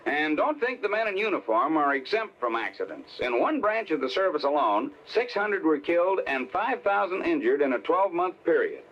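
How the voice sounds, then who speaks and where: An actor playing a bumbling, sheepish husband and sergeant in a 1950s radio skit. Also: male voice, a little high, big pitch swings, moderate pace, few pauses. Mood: reciting earnestly. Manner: stammering, bumbling. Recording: muffled vintage radio, faint noise.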